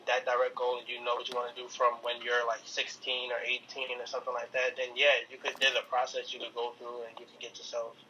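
A man from Harlem in New York City, a guest answering in a relaxed way, thinking aloud with many ums. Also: mid-range voice, quick pace, few pauses, far from the mic, muffled recording, slight background noise. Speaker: guest who works at a college. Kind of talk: casual interview answers.

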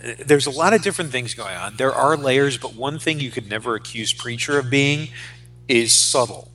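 A man's voice: mid-range voice, moderate pace, a bit bright, slight background noise, poor audio. A man in his forties co-hosting a podcast, chatting casually and emphatically about pop culture, often repeating phrases as he goes. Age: 40s. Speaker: podcast co-host.

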